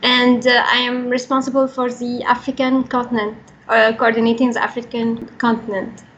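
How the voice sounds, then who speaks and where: A woman's voice: on the high side, flat delivery, moderate pace, a bit bright, slight background noise. A young woman with an Egyptian accent giving a prepared talk in an earnest, formal manner with careful phrasing. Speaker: regional coordinator for a young workers' organisation. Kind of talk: prepared talk, reading statement. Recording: dull, band-limited recording.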